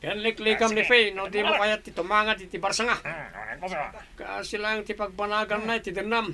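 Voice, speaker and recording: A man's voice: high-pitched, flat delivery, moderate pace, a crowd in the background. A man with an Ilocano accent playing a menacing thug who bullies a victim in a radio drama. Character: menacing male thug bullying a victim for his phone.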